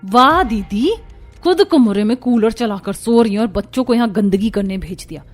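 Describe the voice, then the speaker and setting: An overworked, exasperated young daughter-in-law, high-voiced. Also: female voice, expressive, moderate pace, faint music behind. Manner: complaining, put-upon. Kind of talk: Hindi cartoon story voice acting.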